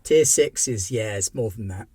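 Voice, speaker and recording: A man's voice: on the low side, animated, normal speed, mellow, breathy edge, dry recording, studio quality. A man hosting the wrap-up of a tabletop game stream, joking about next week's games in a playful, bouncy way. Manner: playful, jokey, bouncy delivery. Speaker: game master and channel host. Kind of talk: casual show wrap-up chat.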